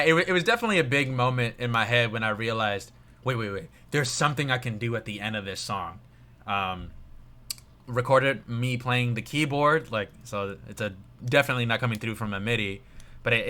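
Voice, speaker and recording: Bright-voiced young man reviewing music. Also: medium pitch. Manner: rambling, many fillers, trails off quietly. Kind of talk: casual review chat on a livestream.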